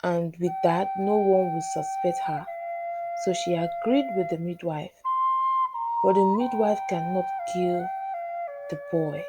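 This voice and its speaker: A woman storyteller reading a scripted folktale in measured, separate phrases. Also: lowish voice. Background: flute music.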